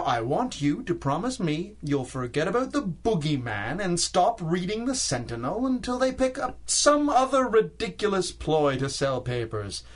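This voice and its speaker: An actor playing a nervous, blustering middle-aged husband in a 1930s-style radio drama, with an exasperated, expressive manner. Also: male voice, sits high, exaggerated voice. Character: nervous, blustering husband dismissing talk of ghosts.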